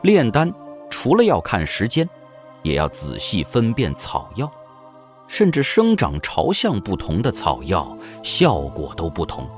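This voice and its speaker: A man's voice: lowish voice, huge pitch swings, unhurried, soft background music. A man reading scripted, dramatic narration for a fantasy audio drama as its narrator.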